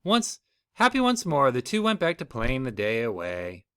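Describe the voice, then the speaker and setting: A man's voice: mid-range voice, huge pitch swings, moderate pace, a bit bright, clean tone, no echo, studio quality. A man reading a children's storybook aloud as the storyteller.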